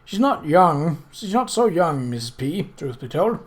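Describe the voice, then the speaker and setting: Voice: medium pitch, big pitch swings, unhurried, voice pushed up. A reader voicing a stern, pompous butler in an audiobook, blunt and disapproving. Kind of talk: acted audiobook dialogue.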